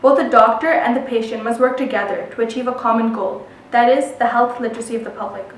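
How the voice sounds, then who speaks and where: Young female student presenter, rapid scripted delivery, heard from some distance in a reverberant room. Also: on the high side, steady tone. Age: young adult. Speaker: public speaker, medical student. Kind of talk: prepared presentation. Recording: roomy, distant microphone.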